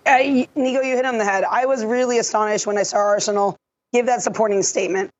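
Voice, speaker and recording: A woman who has lost her voice, explaining refereeing decisions in a measured, technical way on a podcast. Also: a little high, steady tone, lo-fi sound. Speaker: refereeing analyst. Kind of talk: podcast discussion.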